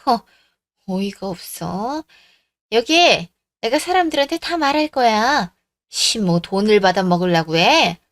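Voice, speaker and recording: A woman's voice: mid-range voice, sing-song, measured pace, no echo, studio quality. A woman voicing a rude, blustering middle-aged mother-in-law in a radio drama story. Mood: indignant. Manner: blustering, scolding, outraged.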